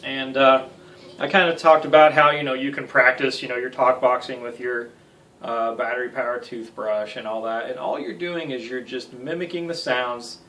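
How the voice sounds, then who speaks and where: A man's voice: mid-range voice, fairly even intonation, normal speed, sharp tone, far from the mic. An American man in his thirties giving a casual guitar tutorial with self-deprecating, joking asides. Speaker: guitar instructor. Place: small home music room.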